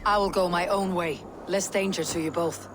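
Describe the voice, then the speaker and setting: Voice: mid-range voice, fairly even intonation, normal speed, fairly bright tone, lightly acted. Actor for stoic Viking warrior, game cutscene. Determined, clipped delivery, noisy outdoor ambience. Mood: resolute. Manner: terse, resolute. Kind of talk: dramatic video game cutscene acting.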